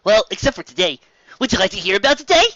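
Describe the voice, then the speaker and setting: A woman voicing a cocky little male baby dragon, loud, boastful and bouncy. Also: mid-range voice, big pitch swings, fast, no room sound, dull audio, pitched above the performer's own voice.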